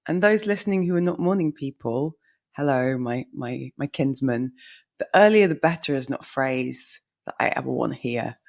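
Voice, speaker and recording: Husky-voiced woman, chatty co-host. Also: very deep voice, animated, a little mellow. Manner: chatty and wry, quick to joke. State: husky from a late night. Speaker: podcast co-host. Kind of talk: casual chat.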